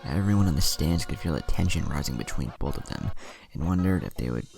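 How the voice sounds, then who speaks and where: A man with an extremely low, heavily creaky voice narrates a scripted story. Music plays clearly behind his narration. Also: animated, fairly quick. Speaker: fan fiction narrator.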